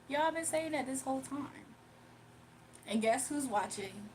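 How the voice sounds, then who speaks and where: Woman streaming off her phone, kinda high voice, chatty, laughs a lot, faint hiss behind. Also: expressive, slow pace. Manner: chatty, laughs often, talks straight to viewers. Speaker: livestreamer. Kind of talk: casual live-stream chat, reading comments aloud.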